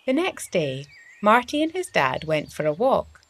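A woman reading a story for young children in an expressive, deliberate storytelling manner, as the host of a story series. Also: medium pitch.

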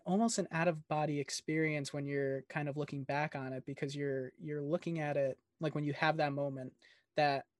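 A man's voice: fairly high pitch, no room sound, clean studio sound. A man hosting a casual interview, rambling and encouraging with plenty of filler words. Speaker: interviewer host.

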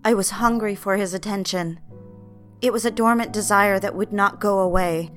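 An actress as a lovestruck young secretary in a 1960s audio drama. Her female voice is mid-range, soft and hesitant. She confides with timid yearning, with music behind her. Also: mid-range voice, normal speed, close to an everyday voice. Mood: yearning, confessional. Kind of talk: period audio drama acting, confessional first-person narration.

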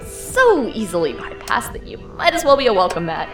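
A woman's voice: a little high, huge pitch swings, normal speed. Playful, self-assured woman burglar, roomy echo. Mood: smug, playful. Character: cocky, encouraging woman burglar, expert at breaking locks. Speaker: voice actor in a fiction podcast. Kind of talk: naturalistic audio drama acting. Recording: roomy echo, music underneath.

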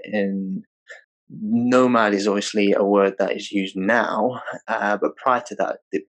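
A man hosting a relaxed interview, easygoing and conversational. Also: lowish voice, a little slow, poor audio. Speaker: podcast host. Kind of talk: casual interview chat.

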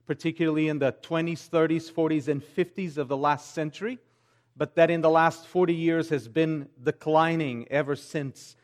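Man, forties, Portuguese accent, slightly high voice, pastor speaking to a church, earnest, measured phrasing. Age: 40s. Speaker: pastor and seminary teacher. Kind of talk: church address to a congregation, giving updates and prayer requests.

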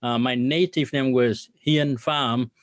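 A middle-aged man from Vietnam, a business guest on a podcast interview, speaking earnestly with many filler pauses and religious phrasing. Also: medium pitch, fairly even intonation, no room sound.

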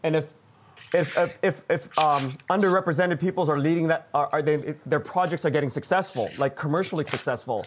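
A man on a roundtable panel who speaks haltingly, often repeating words as he goes. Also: fairly high pitch, noisy background. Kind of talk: roundtable discussion.

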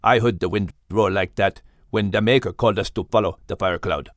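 Deep, mysterious big panther acted by a narrator. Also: no echo, clean studio sound, lightly acted. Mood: thoughtful. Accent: Malaysian. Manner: calm, unhurried, polite. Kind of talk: children's storybook character voice.

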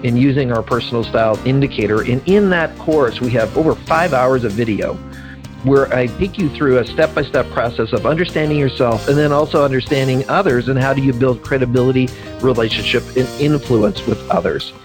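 A man's voice: mid-range voice, brisk, music in the background. A man hosting a podcast, encouraging and joking with his guest. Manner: upbeat, encouraging, jokey. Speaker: podcast host. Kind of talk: podcast interview and promo read.

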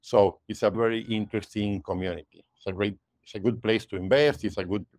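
A man's voice: lowish voice, fairly even intonation, fairly quick, darkish tone, no echo. A man in his forties from Argentina, talking conversationally as a podcast guest, often tagging "you know" and "right?". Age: 40s. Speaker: guest, realtor. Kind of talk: podcast interview.

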